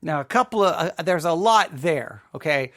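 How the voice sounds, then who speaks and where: A man hosting an informal spoken commentary, emphatic and halting, repeating himself and adding rhetorical asides. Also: fairly high pitch, animated, a bit bright, no room sound, studio quality. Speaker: podcast host commenting on a drama.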